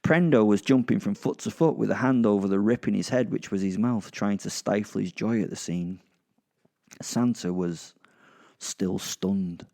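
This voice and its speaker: A man's voice: fairly low pitch, normal speed, gravelly, warm tone. A man narrating a first-person horror comedy audio drama in a gleeful, sardonic, sweary manner. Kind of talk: first-person darkly comic horror storytelling, in character.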